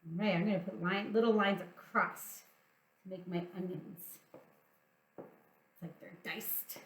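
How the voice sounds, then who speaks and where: A woman's voice: lowish voice, fairly even intonation, a little slow, roomy sound, slight background noise, poor audio. A woman hosting a playful children's storytime, speaking to young children in an encouraging, sing-song way as they draw along. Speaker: children's storytime teacher and host.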